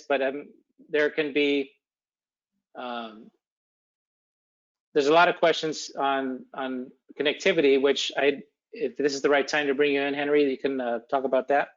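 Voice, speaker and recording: A man giving an unscripted technical explanation in a halting, thinking-aloud way over an online call. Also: mid-range voice. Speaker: presenter of a technical webinar. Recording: dull, lo-fi webcast audio.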